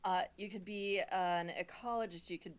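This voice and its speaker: A woman's voice: fairly low pitch, steady tone, normal speed, clean tone. A woman from the United States, a chatty guest explaining things at length on a video call. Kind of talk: casual roundtable chat. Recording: dull lo-fi audio.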